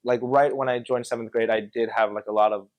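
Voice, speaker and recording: Slangy, animated young man, dry sound. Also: medium pitch, fast, no room sound. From Connecticut, USA. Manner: animated, slangy storytelling with many filler yeahs. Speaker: podcast guest. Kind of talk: casual chat.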